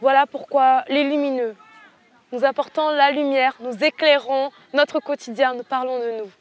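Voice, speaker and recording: A woman's voice: sits high, steady tone, moderate pace. A teenage woman from French Guiana, a student activist leader, giving impassioned, rhetorical interview answers outdoors. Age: teens. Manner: impassioned, rhetorical, repeats phrases.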